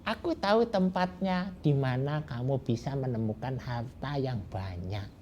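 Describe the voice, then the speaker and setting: A man's voice: medium pitch, lively intonation, mellow, dull audio, slight background noise, close to an everyday voice. A man voicing a kindly old grandfather who chuckles and draws out his words while giving a hint. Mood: knowing. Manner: chuckling, drawn-out speech.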